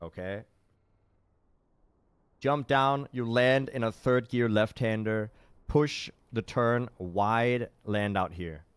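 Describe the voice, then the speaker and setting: A man streams live commentary on a racing game, reading chat and laughing at his own slips. His voice sits at a middling pitch, and the sound is close and dry with no room around it. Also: takes it slowly, pauses often, smooth, no echo. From Denmark. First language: Danish. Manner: self-deprecating, chatty, reacts to chat.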